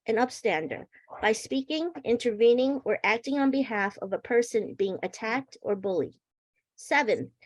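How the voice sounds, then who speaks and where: A woman reading a prepared pledge aloud at a workshop in a measured, deliberate way. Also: fairly high pitch. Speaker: workshop participant reading guidelines.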